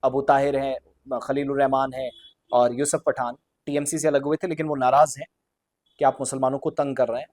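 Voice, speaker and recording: A man's voice: mid-range voice, level tone, fairly quick, a little mellow. A man giving unscripted news commentary to viewers, explaining and restating his points in Urdu-heavy wording sprinkled with English. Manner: Urdu-heavy vocabulary mixed with English words.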